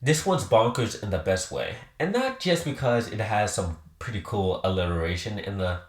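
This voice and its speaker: A man's voice: lowish voice, big pitch swings, moderate pace, roomy sound. A man presenting a scripted countdown review with emphatic, enthusiastic delivery. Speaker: host presenting a ranked countdown.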